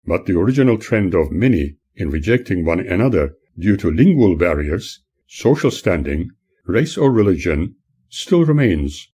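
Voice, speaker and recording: A man with a very low, husky voice reads prepared prose like an audiobook. His tone is dark and mellow. He is earnest and oratorical, moving through each phrase in a measured way. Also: very animated. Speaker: author reading own book. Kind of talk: scripted narration, audiobook-like reading.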